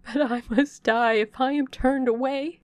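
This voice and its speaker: A worn-out young woman begs and implores, as the reader voices her, her pleading rising in pitch. The voice carries a gravelly fry and sounds close and dry. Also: fairly even intonation, moderate pace, a little mellow, dry recording, close to an everyday voice. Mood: pleading, desperate.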